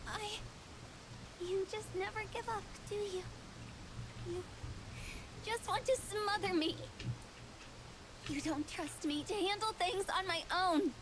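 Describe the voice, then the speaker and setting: A woman's voice: extremely high pitch, takes it slowly, pauses often. A woman voicing an emotional young woman in a dramatic anime visual novel. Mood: angry, hurt. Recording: game audio with noisy background.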